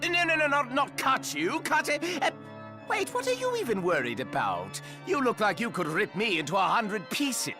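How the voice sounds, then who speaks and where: An actor playing a frantic, comical cursed demon barber in a video game, stammering and theatrical. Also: male voice, extremely high pitch, wildly swooping pitch, normal speed, over music, full character voice. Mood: pleading, nervous.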